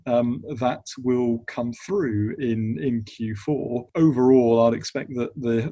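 A man giving measured, conversational answers as an expert interview guest. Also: medium pitch, dark tone, dry recording. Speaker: interview guest, investment funds lawyer.

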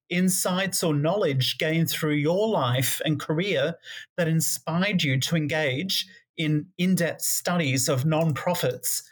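A woman hosting a podcast interview, asking long, formal, carefully worded questions. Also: low-pitched. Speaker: podcast host.

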